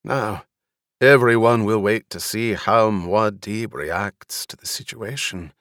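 A man voicing a gruff, loyal retainer in an audiobook, speaking formally and deferentially with urgency and worry. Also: on the low side, slow pace, a bit bright, no room sound, clean studio sound. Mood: worried, urgent.

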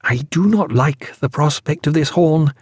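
A man's voice: on the high side, expressive, a little slow, a little mellow, slightly rough, no echo, studio quality. A man voicing a gloomy, fussy ship's doctor and naturalist in an audiobook, precise and formal.

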